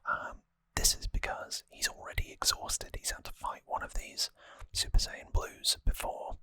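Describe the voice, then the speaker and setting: Asmr host whispering right up on the mic, crisp hissy bright sound, casually explaining stuff. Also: a little slow, no room sound. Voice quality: breathy unvoiced whisper. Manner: soft-spoken, informal, explanatory. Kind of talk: ASMR whispered story recap. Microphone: close microphone, dry.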